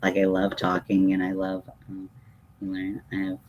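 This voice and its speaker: A man's voice: sits low, completely flat delivery, normal speed, darkish tone, a little hiss or hum, low-quality recording. A man hosting a casual livestream, rambling in a hesitant, digressive, self-deprecating way. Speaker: livestream host.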